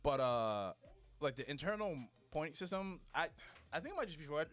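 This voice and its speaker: A man's voice: fairly high pitch, very animated, moderate pace, a bit bright. Man in wry esports commentary, music behind. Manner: wry, joking, slangy. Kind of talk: casual esports commentary banter. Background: background music.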